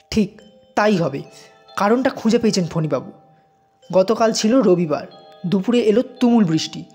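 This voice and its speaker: A woman narrates a suspenseful audio story in a high, husky voice. Her pitch moves in lively, dramatic swings as she tells it. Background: music under the voice.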